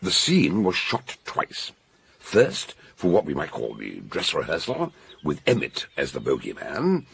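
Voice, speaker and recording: Husky, deep-voiced older detective. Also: male voice, wildly swooping pitch, a little slow, a bit bright, exaggerated voice. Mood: genial, explaining. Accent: British English. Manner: theatrical, expansive, authoritative explaining. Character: older male amateur detective, jovial, commanding and shrewd. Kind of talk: British radio mystery drama acting.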